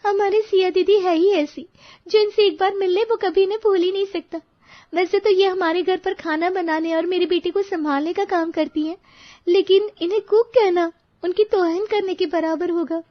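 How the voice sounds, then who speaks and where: A woman voicing a grateful young mother who effusively praises her household cook in an animated moral story. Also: very high-pitched, moderate pace, muffled recording. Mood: warm praise. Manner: effusive, admiring.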